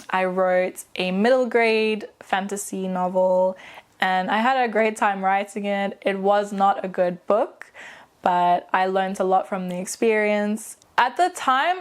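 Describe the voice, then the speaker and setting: A woman's voice: medium pitch, smooth. A woman in her late twenties from South Africa, whose South African accent carries British and American traces, talking candidly in a casual personal vlog with frequent fillers. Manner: chatty and candid, with frequent fillers and self-corrections. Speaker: vlogger and aspiring novelist.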